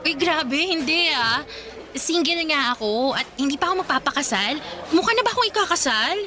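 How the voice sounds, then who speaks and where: An actress playing a playful, teasing young single woman in a radio drama, expressive, with a Filipino accent. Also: sits high, moderate pace. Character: playful, bantering young single woman, caring older sister.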